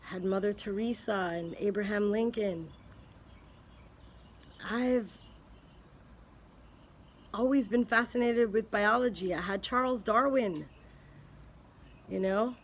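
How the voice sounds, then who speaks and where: A woman talking slowly and unhurriedly to her camera, thinking aloud and often pausing to restart a thought. Her pitch sits in an ordinary middle range, and her tone is earnest and speculative, like a casual chat with a friend. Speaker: vlogger. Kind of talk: casual spoken musing to camera.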